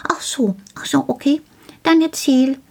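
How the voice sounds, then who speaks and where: A woman voicing a small, excitable male gnome who is eager, childlike and likes to repeat words in a storybook tale. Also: sits high, unhurried, gravelly, pitched above the performer's own voice. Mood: eager, explaining.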